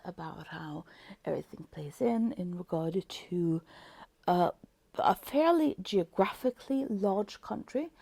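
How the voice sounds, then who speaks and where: A woman with a Norwegian accent, a native Norwegian speaker, reviewing a book in a casual, thoughtful way and often pausing to search for words. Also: fairly low pitch, gravelly, mellow, dry recording. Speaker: book vlogger.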